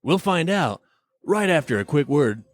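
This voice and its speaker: A man's voice: fairly high pitch, very animated, normal speed. A man hosting a podcast in a casual, joking review-chat style. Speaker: podcast host.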